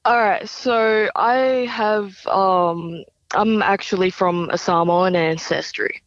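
Slow-speaking young Australian woman, slightly dull sound. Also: mid-range voice, no echo. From Brisbane, Australia. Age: young adult. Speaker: singer-songwriter, interview guest. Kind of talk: casual interview answers.

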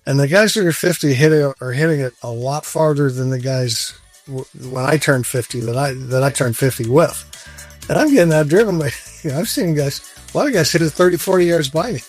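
A man's voice: a little high, a little fast, faint music behind. Candid man in his sixties, laughing easily. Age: 60s. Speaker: guest. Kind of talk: casual interview chat.

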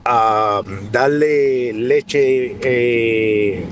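A man calling in with a slow, hesitant voice message. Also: medium pitch, background noise. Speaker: caller.

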